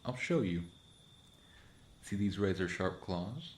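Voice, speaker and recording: A man's voice: low voice, sing-song, slow pace, a little mellow, exaggerated voice. A man voicing a mischievous, friendly forest cryptid in a casual, teasing ASMR roleplay monologue. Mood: playful showing off. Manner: casual, teasing, boastful, chuckling delivery. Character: playful prankster forest cryptid, friendly, mischievous, clawed monster. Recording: roomy echo, clear background noise.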